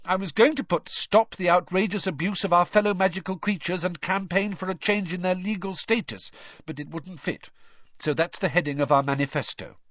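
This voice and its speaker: Audiobook role: male reader as earnest, bossy teen girl crusader, raised pitch, emphatic and brisk delivery. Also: a bit bright, no echo, lo-fi sound. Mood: indignant. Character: bossy, earnest, impatient teenage schoolgirl crusader.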